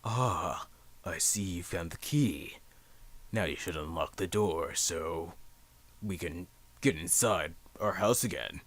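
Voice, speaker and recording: A man voicing a deep, gruff, cool male character in a slow, measured voice for a cartoon dub. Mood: calm, menacing.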